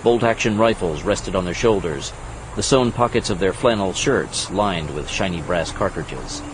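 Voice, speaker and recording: A man's voice: deep voice, moderate pace, noisy background. A man reading scripted, dramatic narration for an action thriller audiobook. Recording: loud vehicle and outdoor effects behind.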